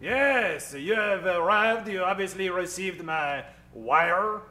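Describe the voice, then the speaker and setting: A man playing a pompous, secretive traveler in an old-time radio comedy, speaking formally and haltingly. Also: high-pitched, expressive, pushed and bright, roomy sound, heavily acted. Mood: grand, formal.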